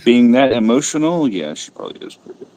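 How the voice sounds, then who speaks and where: A man's voice: medium pitch, big pitch swings, brisk, mellow, poor audio. A man chatting casually as a guest on a stream, dry, sardonic and profane, with faint noise behind him. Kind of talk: casual chat.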